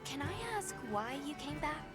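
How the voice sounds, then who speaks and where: Young female game companion: encouraging, courteous, high-pitched, under loud music. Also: very animated, a little slow. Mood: friendly, polite. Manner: polite, encouraging. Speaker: game character. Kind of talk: video game voice acting.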